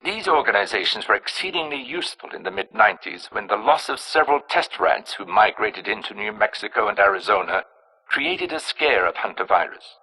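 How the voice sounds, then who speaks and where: An actor playing a cold, arrogant scientist in a video game, narrating with clinical precision in a raspy, hard-edged voice. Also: male voice, fairly low pitch, lively intonation, a little fast, pushed and bright, full character voice, rough recording. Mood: clinical, detached. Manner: clinical, precise, self-important. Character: cold, arrogant male scientist engineering plagues and alien pathogens.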